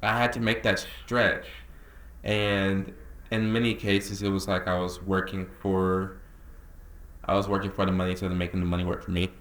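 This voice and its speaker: A man of nineteen talks casually and earnestly to camera as a creative director, drawling some words and rushing others. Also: deep voice, little pitch movement, fairly bright tone. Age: young adult. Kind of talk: casual talking-head vlog. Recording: echoey room.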